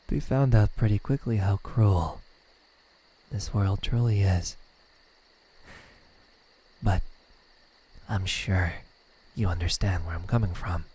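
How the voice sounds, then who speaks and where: A man playing a smug, teasing demon in an ASMR roleplay, taunting softly with drawn-out pauses and a breathy, husky voice. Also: sits low, fairly even intonation, full character voice, rough recording. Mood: mocking amusement, then resigned, soft. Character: smug, teasing male demon toying with a captive. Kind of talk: ASMR roleplay, slow intimate villain acting.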